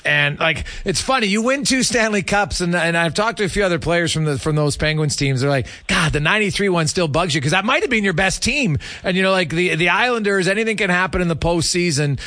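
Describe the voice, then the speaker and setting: A man's voice: high voice, lively intonation, a little fast, hardly any pauses, bright, forceful tone, dry recording. A man hosting a casual radio sports interview, asking questions in a chatty, informal way.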